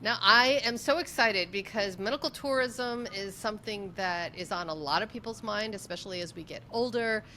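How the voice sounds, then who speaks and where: Effusive woman interviewer, faint rumble behind. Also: normal speed, few pauses, bright, forceful tone, rough recording. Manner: effusive, emphatic repeats. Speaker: interview host. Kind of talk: conversational interviewing.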